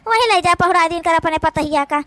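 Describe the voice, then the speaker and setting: Woman voicing a very shrill, scolding Awadhi village daughter-in-law. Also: a little fast, fairly bright tone, no echo. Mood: argumentative. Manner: scolding, argumentative, rustic. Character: squabbling village daughters-in-law, brash, indignant women. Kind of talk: cartoon comedy dialogue.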